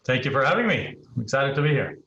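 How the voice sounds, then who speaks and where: Guest: German-accented man in his forties, bright voice, talkative. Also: mid-range voice, big pitch swings, quick pace, highs cut off. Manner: talkative, frequent restarts and fillers. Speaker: guest investor. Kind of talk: casual podcast interview.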